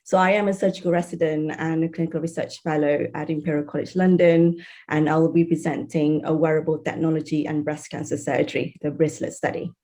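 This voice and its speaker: A woman's voice: low voice, flat delivery, fairly quick, dark tone. A woman in her thirties from Malaysia, giving an online talk as a surgeon. She is tired after a night shift and talks in a friendly way, with frequent ums. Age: 30s. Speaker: guest speaker, surgeon and researcher. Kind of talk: online presentation. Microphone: video call.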